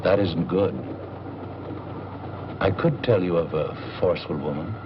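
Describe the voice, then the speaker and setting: An actor playing a courteous, cryptic older seer in a 1940s noir film, speaking in a formal, measured, enigmatic way. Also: male voice, deep voice, noisy background. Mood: ominous storytelling. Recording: dull old film soundtrack with music behind.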